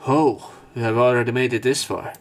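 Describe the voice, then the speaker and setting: A man's voice: medium pitch, steady tone, a little fast, faint music behind. A man reading a visual novel's narration and dialogue aloud for a let's play.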